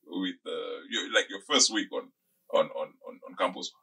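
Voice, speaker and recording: Male interviewer, thirties, podcast studio. Forceful, sharp-edged tone, wordy and conversational, repeats himself while forming questions. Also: sits low, normal speed, creaky, slightly rough. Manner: wordy, repeats phrases as he thinks aloud. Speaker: podcast host. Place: small indoor podcast studio. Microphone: desk microphone close up, with headphones.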